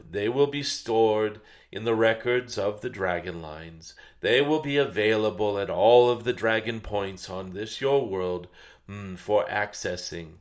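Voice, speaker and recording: A man channeling an ancient, gentle, wise dragon spirit, delivering a spiritual message in a solemn meditative way, with pauses and murmured hums. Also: lowish voice.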